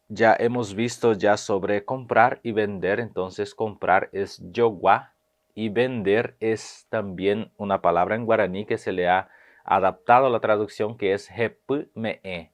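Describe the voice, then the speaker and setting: A man, giving a video language lesson and slowly repeating phrases with hesitant fillers. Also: fairly low pitch. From Paraguay. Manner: slow, careful repetition of phrases, with hesitant fillers. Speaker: language teacher.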